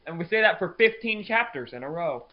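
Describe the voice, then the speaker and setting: A man's voice: sits high, animated, fairly quick, highs cut off, a little hiss or hum. A man bantering on a casual podcast in a joking, theatrically exclamatory way. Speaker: podcast co-host, fandub production crew member.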